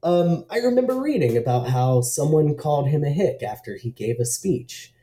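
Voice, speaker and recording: Woman podcast guest, very low pitch, very dark tone, blunt and candid, echoey room. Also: lively intonation, normal speed. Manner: candid and blunt, swears casually, laughs easily. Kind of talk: casual interview chat. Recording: roomy echo.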